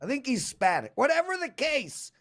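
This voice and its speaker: A man from South Africa with a South African accent, hosting a sports betting show in casual, lively chat. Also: very high voice, normal speed.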